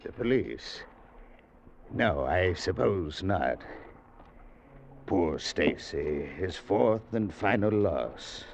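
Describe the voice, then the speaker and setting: An actor playing a smug, pompous escaped-convict ringleader in a 1940s radio drama, speaking with slow, sneering menace. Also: male voice, deep voice, lively intonation, gravelly, heavily acted. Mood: mocking. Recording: dull, hissy old radio broadcast.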